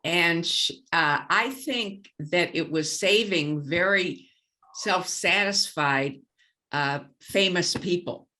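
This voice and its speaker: Low-voiced woman giving blunt opinions. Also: slow pace, bright, forceful tone. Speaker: book group participant. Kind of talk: opinionated discussion comment.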